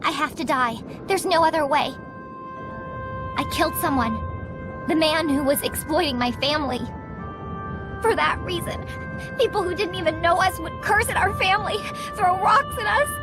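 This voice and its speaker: A very high-pitched young female game character, steeped in guilt and despair. She speaks in an anguished, pleading way, with music clearly behind her. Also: normal speed, long pauses. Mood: desperate. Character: despairing, guilt-ridden young woman seeking salvation. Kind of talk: dramatic video game voice acting.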